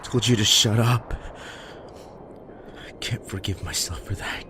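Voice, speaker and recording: A man playing a guilt-ridden, self-loathing young survivor in an emotional audio drama, with a halting, bitter delivery. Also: on the low side, noisy background, natural voice. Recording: prominent music behind the voice.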